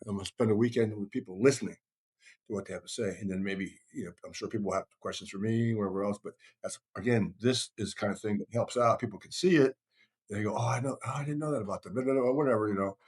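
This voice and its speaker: A man's voice: on the low side, fast, a little mellow, breathy edge. A middle-aged man from the United States talking casually in a rambling, emphatic way, repeating phrases for stress. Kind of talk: casual conversational talk.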